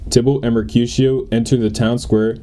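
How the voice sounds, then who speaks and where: A man's voice: mid-range voice, little pitch movement, moderate pace, over music, close to an everyday voice. A young man playing a formal announcer who reads stage directions in a radio play. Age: young adult. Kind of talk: scripted scene announcing.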